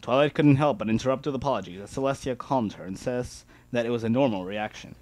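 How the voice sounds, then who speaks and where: A man's voice: medium pitch, fast talker, a little mellow, no room sound. A man reading first-person fan fiction aloud as an amateur dramatic narrator.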